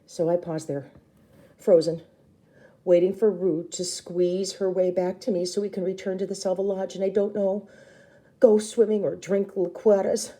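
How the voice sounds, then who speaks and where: Very mellow, lower-voiced woman reading aloud. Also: little pitch movement, moderate pace. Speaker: teacher reading a novel aloud to children. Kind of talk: expressive storybook read-aloud with brief explanations.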